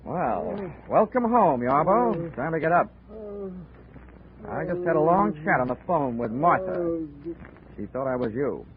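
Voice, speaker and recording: An actor playing a wry, tough, slangy insurance investigator in a 1940s radio detective drama. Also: male voice, fairly high pitch, very animated, unhurried, long pauses, a crowd in the background. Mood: tough, taunting. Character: wry, hard-boiled male insurance investigator. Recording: tinny 1940s radio recording.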